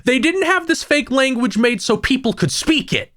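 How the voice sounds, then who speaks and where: A man co-hosting a casual gaming podcast, talking in a rambling way with frequent restarts and quick asides. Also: extremely high pitch, lively intonation, pushed and bright, dry recording, clean studio sound. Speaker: podcast co-host.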